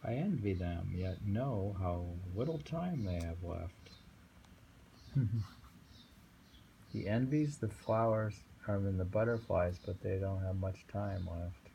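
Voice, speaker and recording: A middle-aged man reading short poems aloud and commenting casually, in a musing, halting way with frequent hums and pauses. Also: low-pitched, vocal fry, mellow. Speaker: poetry reader. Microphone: handheld phone. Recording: noisy amateur phone recording.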